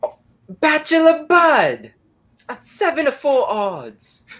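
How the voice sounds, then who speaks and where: Male actor, excitable working-class passenger, chatty and swooping in pitch, 1930s radio drama. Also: slow, full character voice. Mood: excited. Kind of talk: 1930s radio play acting.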